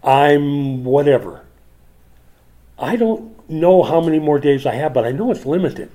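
Slow, mellow-voiced older man preaching. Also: fairly high pitch, lively intonation. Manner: slow, reflective preaching with emphatic rises on scripture. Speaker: pastor. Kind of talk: sermon.

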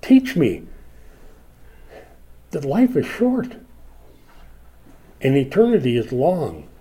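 A man's voice: fairly high pitch, huge pitch swings, slow, dark tone. A man in his sixties preaching a sermon, reflective, rising with emphasis when he reads scripture. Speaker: pastor.

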